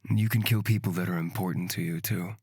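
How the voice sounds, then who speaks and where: A woman's voice: a bass voice, fairly even intonation, brisk, a little mellow, slightly rough, dry recording, clean studio sound, pitched below the performer's own voice. A woman voicing a menacing, mocking male killer from a fantasy visual novel, coldly sardonic and taunting. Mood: weary, cold. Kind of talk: visual novel character acting.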